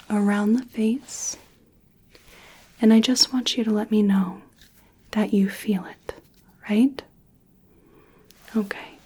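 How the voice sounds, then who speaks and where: Woman, ASMR clinician roleplay, mellow breathy whisper, very unhurried. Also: medium pitch. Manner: soft unvoiced whisper, gentle step-by-step instructions. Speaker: ASMR creator playing an examining clinician.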